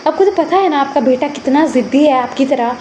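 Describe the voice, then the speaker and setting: A woman's voice: high voice, fairly quick, mellow, a little hiss or hum, lightly acted. A woman voicing an earnest, apologetic young male relative in a story, pleading and explaining himself.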